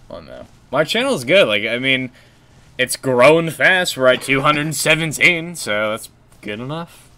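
A young man with a deep voice chatting on a gaming stream, low-key and rambling with fillers and restarts. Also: expressive, unhurried, pushed and bright. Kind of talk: casual gaming stream chat.

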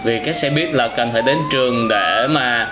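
Southern Vietnamese man over clearly noisy audio. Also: medium pitch, moderate pace. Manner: exclamatory storytelling with frequent wows and addresses to viewers. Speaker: gaming reaction video host. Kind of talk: casual reaction commentary addressed to young viewers. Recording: clearly noisy with game vehicle sounds and sirens.

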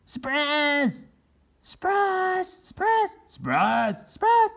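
Shrill, excitable gobbling turkeys. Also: male voice, extremely slow, vocal fry, exaggerated voice. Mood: gleeful. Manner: shrill, repetitive exclaiming.

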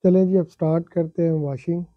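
A man's voice: fairly high pitch, fairly even intonation, fairly quick, highs cut off, background noise. A middle-aged man in a home garage, chatting to viewers as he walks them step by step through a car wash, recorded on a phone. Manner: chatty, explaining step by step, addressing viewers politely. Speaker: vlogger. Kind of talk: casual how-to narration.

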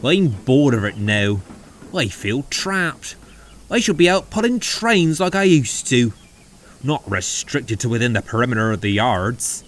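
A man's voice: mid-range voice, sing-song, measured pace. A man voicing a grumpy, self-important male tank engine in a children's story, huffy and emphatic as he complains. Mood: grumpy, indignant.

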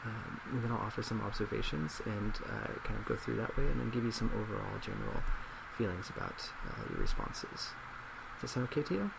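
A young man with a fairly low voice plays a psychologist in a soft-spoken ASMR role play. He talks in a measured, reassuring, slightly hesitant way, with heavy vocal fry. A loud laptop fan drones behind him in the small office. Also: very noisy. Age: 20s.

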